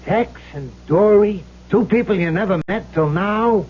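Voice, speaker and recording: An actor playing a cruel, mocking ghost of a gangster swindler in an old-time radio drama, husky and full of slangy tough-guy menace. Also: sits high, measured pace, slight background noise. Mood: commanding, scornful. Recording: dull lo-fi old radio recording.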